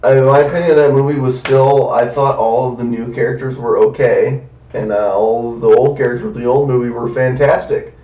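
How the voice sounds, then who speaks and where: A man's voice: mid-range voice, steady tone, a little fast. A young man in casual podcast chat, speaking in a hesitant, slangy way. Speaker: podcast participant. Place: echoey room, off the mic. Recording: roomy, distant, dull, faintly noisy.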